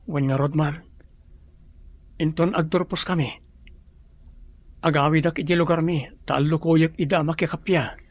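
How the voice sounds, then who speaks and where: A man's voice: a little high, steady tone, moderate pace, pauses often, lightly acted. A man with an Ilocano accent playing an earnest, respectful young villager in a drama.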